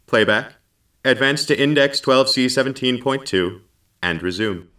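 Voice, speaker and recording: An actor playing a formal, deadpan male computer companion on a space station, in a science fiction audio drama. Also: mid-range voice, a little slow, recorded from a distance.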